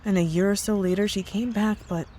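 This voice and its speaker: A woman's voice: medium pitch, fairly even intonation, a little fast, warm tone, slight background noise, natural voice. A woman playing a concerned coworker in an ASMR roleplay, speaking earnestly, hesitantly and with care. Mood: earnest, worried. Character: worried, caring married female coworker, hesitant and earnest. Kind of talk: ASMR roleplay audio drama.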